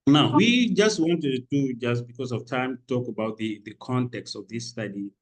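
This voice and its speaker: A man from Senegal presenting an academic paper at a conference, speaking haltingly with many fillers and restarts. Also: medium pitch. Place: conference room.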